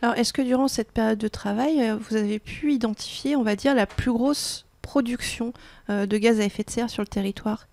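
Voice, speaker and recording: Female radio interviewer, forties, slightly high with noticeable vocal fry, close studio mic. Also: a little fast, darkish tone, dry recording. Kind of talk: radio magazine presenting and interviewing. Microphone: close handheld-style studio microphone.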